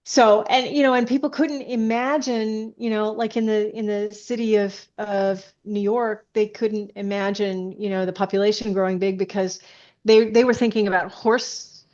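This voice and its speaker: A woman guest author chatting casually in an interview, haltingly, often thinking aloud as she explains. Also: medium pitch, steady tone, darkish tone, dull audio. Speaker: guest science fiction author.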